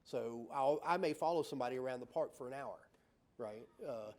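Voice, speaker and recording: Brief: male designer on a panel, mid-pitched, chatty explanations, frequent fillers and tag questions. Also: brisk, darkish tone. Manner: many fillers and restarts, tag "right?"; explains with acted-out examples.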